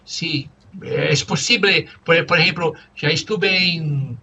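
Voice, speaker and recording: A man whose first language is Portuguese, practicing conversation as a language student and pausing often to search for words. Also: a little high, creaky, highs cut off, background noise. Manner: halting, searching for words, many fillers.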